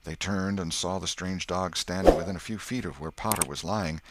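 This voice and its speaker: A man's voice: sits low, normal speed, very noisy. A man with an American accent narrating a classic novel as an audiobook storyteller.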